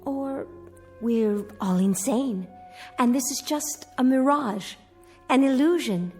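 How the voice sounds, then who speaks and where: Casting: calm, grave ballerina for radio drama; enigmatic, measured, raised pitch. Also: female voice, faint music behind. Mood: pensive.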